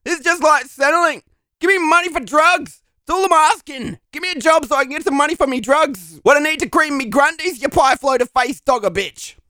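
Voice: sits high, a little fast, bright, forceful tone, no room sound. An actor playing a foul-mouthed, desperate Australian slacker man who begs and rants in thick slang in a comedy drama. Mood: angry, pleading. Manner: crude, slangy, belligerent, whining.

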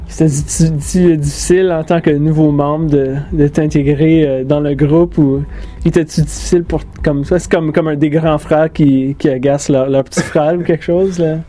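Mellow Franco-Ontarian man asking questions. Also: on the high side, steady tone, normal speed. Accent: Franco-Ontarian French. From Ottawa. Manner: hesitant, stumbling questions. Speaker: interviewer.

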